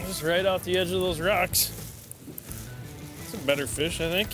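Brief: man, fishing guide, laid-back, quick to laugh, slightly raised pitch, out on the water. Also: over music. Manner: relaxed, laughs easily, short remarks. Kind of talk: casual banter while fishing. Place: outdoors on a boat on a lake.

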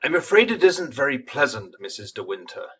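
Actor as formal coast guard captain, grave and measured, radio play with music under. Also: male voice, medium pitch, very animated, fairly bright tone, slightly rough. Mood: grave, polite. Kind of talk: old-time radio drama acting.

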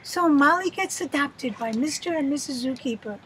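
High-pitched older woman reading a storybook. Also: lively intonation, moderate pace. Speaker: children's storyteller. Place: shady outdoor bench. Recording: faint outdoor background noise.

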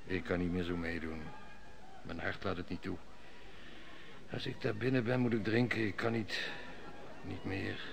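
A sardonic old-time radio-play steward, sly and mocking, with a lowish voice. He schemes in a cynical, world-weary drawl. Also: fairly even intonation, normal speed, creaky, pauses often, slight background noise. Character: sly cynical male royal steward, heavy drinker. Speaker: court steward. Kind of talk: 1960s radio drama acting.

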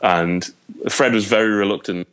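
A young man with an English accent and a very low voice, chatting casually as a podcast guest from his bedroom, rambling with lots of fillers. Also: normal speed, fairly bright tone, clean tone. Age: young adult. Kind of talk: casual chat.

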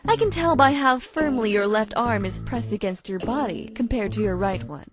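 A woman's voice: on the high side, expressive, normal speed, over music, natural voice. A woman voicing a haughty, intimidating female unicorn in a cartoon dub, formal, precise and curt. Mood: smugly observant. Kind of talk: cartoon fandub acting.

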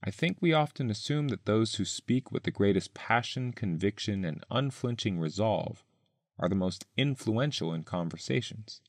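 Low-voiced man reading, very dry sound. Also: lively intonation, normal speed, no echo. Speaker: article author and reader. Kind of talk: scripted narration, reading an essay aloud.

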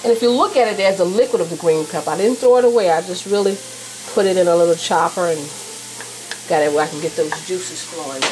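A woman's voice: fairly low pitch, quick pace, background noise. A middle-aged woman demonstrating a recipe in her home kitchen, chatting in a homey way as she cooks. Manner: chatty, homey, talks while stirring and moving about. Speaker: cooking show host. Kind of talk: casual cooking demonstration. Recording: home video with jazz and sizzling behind. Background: jazz playing, food sizzling in the pan.

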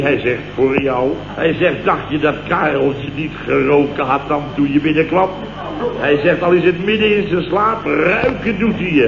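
Blustering Dutch boss, high-pitched. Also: male voice. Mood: aggrieved. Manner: blustering, exclamatory, sarcastic storytelling. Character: grumbling, indignant male boss recounting his mishaps. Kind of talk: 1970s Dutch radio comedy acting. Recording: noisy, dull, roomy old radio recording.

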